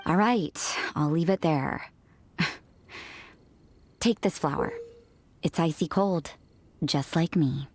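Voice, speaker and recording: A woman's voice: sits low, takes it slowly, pauses often, darkish tone, a little hiss or hum. An actress playing a cool, sardonic, worldly young church woman in an anime game, dry and unsentimental. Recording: music behind the voice.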